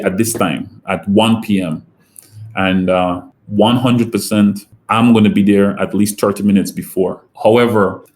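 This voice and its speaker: A man from Guyana talks through his business in an interview. His voice sits fairly low, and he answers in a measured way, walking through examples step by step. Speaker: company president, guest.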